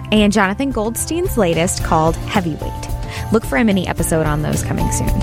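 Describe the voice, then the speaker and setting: A woman hosting a podcast, narrating and asking questions in a conversational way. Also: mid-range voice. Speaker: podcast host. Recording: music bed under the voice. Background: music.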